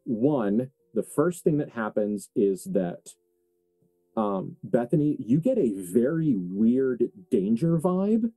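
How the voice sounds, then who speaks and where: A man's voice: medium pitch, sing-song, takes it slowly, dark tone, dry recording, faint music behind, low-quality recording. A man working as game master, narrating a horror tabletop game with casual asides.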